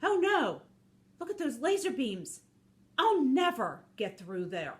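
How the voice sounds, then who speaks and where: Woman voicing an eager, earnest storybook wolf, high-pitched. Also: big pitch swings, slow pace, a bit bright, full character voice. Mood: worried. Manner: eager, exclaiming, trusting. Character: earnest, encouraging, anxious male cartoonish wolf. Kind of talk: children's storybook character reading.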